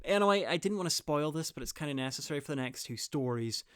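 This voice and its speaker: Dry, clear-voiced man reviewing. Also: on the high side, expressive, fast talker, a bit bright, dry recording. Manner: blunt, sweary, dry humour. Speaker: reviewer and presenter. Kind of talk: scripted review narration, wry.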